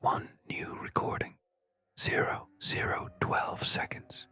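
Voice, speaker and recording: A narrator telling a scary story in a breathy, hushed whisper, with dramatic short suspenseful phrases. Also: slow. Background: music.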